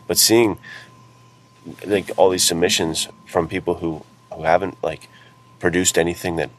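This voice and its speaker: A man's voice: low-pitched, fairly even intonation, moderate pace, poor audio. A man co-hosting a podcast, chatting casually and earnestly in informal, enthusiastic language, with faint ambient noise behind him. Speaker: podcast co-host, author and publisher.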